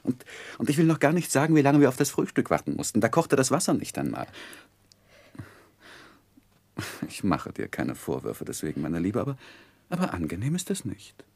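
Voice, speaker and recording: A man's voice: mid-range voice, wildly swooping pitch, fast talker, creaky, a little mellow, husky, dry recording, full character voice. An actor playing an earnest, anxious young husband who also narrates his life in a 1960s German radio drama. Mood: aggrieved, agitated. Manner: earnest, hesitant in argument.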